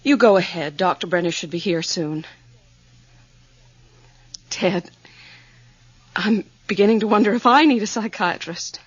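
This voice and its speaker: A worried, weary wife in a radio play. Her voice is husky and breathy, full of anxiety. The recording is dry and slightly muffled. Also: mid-range voice, measured pace, pauses often, no room sound. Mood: worried, strained. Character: anxious, weary wife and mother at a loss. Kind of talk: radio drama acting. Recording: dry, dull lo-fi studio audio.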